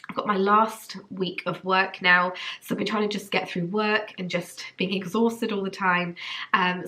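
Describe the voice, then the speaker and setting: A woman's voice: medium pitch, fairly bright tone. A young woman with a cold, sounding a bit nasal and congested, chatting casually to camera with lots of ums and likes. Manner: rambling, many ums and likes. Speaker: vlogger.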